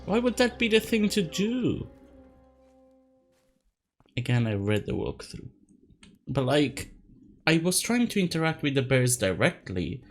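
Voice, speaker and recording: A man's voice: a little high, very animated, measured pace, long pauses, faint music behind. A young man whose first language is Italian, rambling and self-mocking while commenting on a game he plays, laughing often. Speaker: let's play host. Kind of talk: casual gaming commentary.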